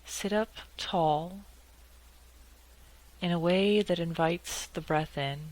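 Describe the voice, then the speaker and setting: Very slow-paced woman guiding meditation. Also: on the low side, poor audio. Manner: calm, measured delivery with long pauses. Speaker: meditation guide. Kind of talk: guided meditation.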